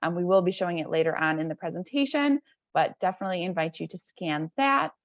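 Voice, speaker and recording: A woman's voice: lowish voice, lively intonation, fast, no echo. A woman hosting an online webinar, giving a prepared introduction that is partly read aloud over a video call. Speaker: webinar host introducing a speaker. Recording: slightly dull video-call audio.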